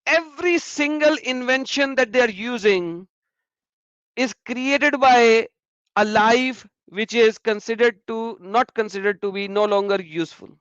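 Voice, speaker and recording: Animated man. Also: very high voice, measured pace, no room sound. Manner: animated, repeats phrases. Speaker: host. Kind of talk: casual discussion. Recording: muffled lo-fi sound.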